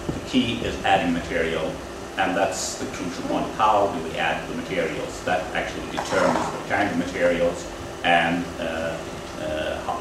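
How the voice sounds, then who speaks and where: Man, very low voice, halting technical lecture, far from the mic, air-conditioning hum. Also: very echoey. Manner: halting, explanatory, with frequent pauses. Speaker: lecturer at a training event. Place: lecture room at a university.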